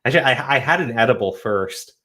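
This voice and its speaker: A young man with a cold hosts a casual live stream, coughing and rambling between stumbling restarts. His voice sits at an ordinary middle pitch and sounds relaxed and conversational. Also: fast. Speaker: host.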